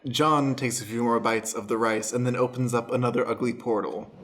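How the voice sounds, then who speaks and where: A man's voice: mid-range voice, steady tone, brisk, a bit bright. A man narrating as stage manager, setting scenes in an improvised radio play. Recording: echoey room, faint background noise.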